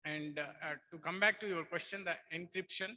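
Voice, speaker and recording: A man's voice: high voice, fairly even intonation, brisk, sharp tone. A man with an Indian accent giving an explanatory product presentation and answering questions from an audience.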